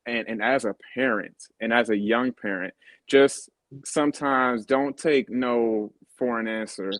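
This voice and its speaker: A man in his thirties, a guest in an interview, talking in a halting way with many fillers and restarts. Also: medium pitch, fairly bright tone, no room sound. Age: 30s. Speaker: guest, kidney advocate. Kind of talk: casual interview talk.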